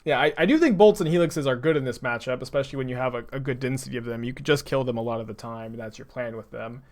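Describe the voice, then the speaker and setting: A man's voice: medium pitch, fast. A young man from Texas with a mild urban Texan accent, thinking aloud in dry, sarcastic casual commentary as he plays a card game for viewers. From Texas, USA. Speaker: streamer playing a card game. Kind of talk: casual commentary over gameplay, chatting with viewers.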